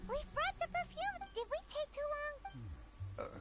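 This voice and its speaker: Very high, chirpy little fairy. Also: female voice, huge pitch swings, slow, heavily acted. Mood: perky, eager. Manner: perky, refers to self by name. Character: Paimon, tiny chirpy childlike female fairy companion. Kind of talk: video game voice acting. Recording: game audio under loud music. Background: loud game music.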